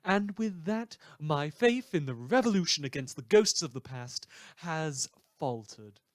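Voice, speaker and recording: A man playing a sardonic, bitter radio news host in a fan audio drama, with theatrical, drawn-out emphatic phrasing. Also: on the high side, lively intonation, no room sound, clean studio sound. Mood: bitter, disillusioned. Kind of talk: scripted satirical radio news monologue.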